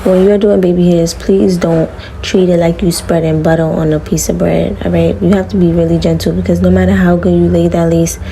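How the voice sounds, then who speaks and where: A young woman with a raspy voice chatting casually to viewers as she styles her hair, relaxed and full of slang, in a small room. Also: lowish voice, a little fast, a little hiss or hum, rough recording. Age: young adult. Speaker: beauty vlogger. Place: small room before a green screen.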